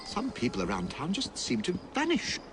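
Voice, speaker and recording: An actor playing a chatty, gossipy Victorian London shopkeeper in a video game. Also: male voice, high-pitched, huge pitch swings, moderate pace, over music, full character voice. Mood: puzzled, musing. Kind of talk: video game cutscene acting. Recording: clearly noisy ambience behind.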